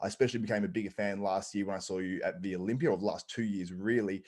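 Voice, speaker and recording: A man's voice: sits low, steady tone, fast talker, a little mellow. A man hosting an interview show, asking long rambling questions full of hedges. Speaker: interview host. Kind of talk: podcast interview.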